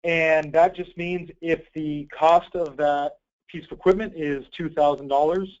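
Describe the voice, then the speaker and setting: A man with a fairly high voice leads a conference call, presenting and fielding questions. He is informal and obliging. The audio is dull and low-fi, as on a group call line. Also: steady tone, a little slow, darkish tone. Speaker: host, grant program coordinator.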